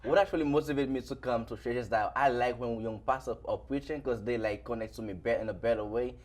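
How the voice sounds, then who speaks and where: A teenage young man interviewing a pastor, asking halting questions and rephrasing them as he goes. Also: mid-range voice, darkish tone.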